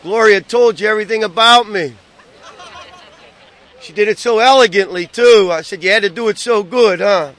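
Man from Pittsburgh, recovery convention speaker, folksy and chatty, higher-pitched voice. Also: normal speed, fairly bright tone, a faint crowd. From Pittsburgh, United States. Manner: chatty and folksy, many "boy" and "uh" fillers. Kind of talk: folksy talk to an audience.

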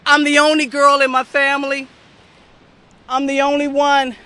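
Woman, young, heartfelt, addresses the crowd, bright and forceful tone. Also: high voice, flat delivery, moderate pace. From southern United States. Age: young adult. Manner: heartfelt and earnest, speaks straight to the crowd. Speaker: speaker at a recovery meeting. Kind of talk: personal testimony to an audience.

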